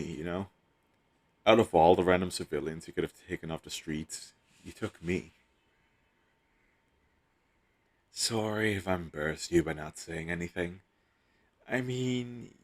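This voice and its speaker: A man playing a captured superhero posing as a hostage in an intimate audio roleplay, wry, teasing and flirtatious. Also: low voice. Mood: smug, playful.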